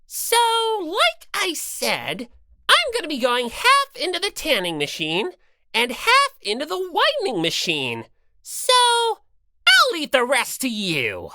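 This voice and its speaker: Cruel bear mascot villain, high bright voice, vain, mocking, theatrical, audiobook character. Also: huge pitch swings, no echo, clean studio sound, exaggerated voice. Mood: bossy, scolding. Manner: mocking, theatrical, drawn-out taunting delivery.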